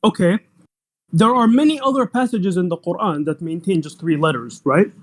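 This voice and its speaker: A man's voice: high-pitched, moderate pace. A young man whose first language is Arabic, arguing insistently in an online debate and pressing the same demand over and over. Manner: insistent, repeats demands, talks over others.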